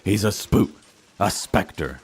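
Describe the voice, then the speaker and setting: A man playing an exasperated, stern police chief with a gruff, husky voice in a comedic noir audio drama. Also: low-pitched, lively intonation, a little slow, heavily acted. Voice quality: gravelly, husky.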